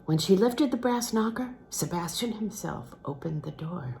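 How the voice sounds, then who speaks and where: Female audiobook reader, lowish register, very animated delivery of literary prose, slightly noisy recording. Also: moderate pace, creaky. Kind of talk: expressive literary read-aloud of a novel.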